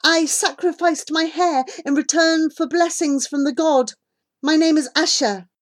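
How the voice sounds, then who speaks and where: A woman acts out a young child traveller from an audiobook adventure. The child is desperate and earnest, pleading urgently. The voice sits higher and pushes hard on the words. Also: a little slow. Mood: earnest, proud.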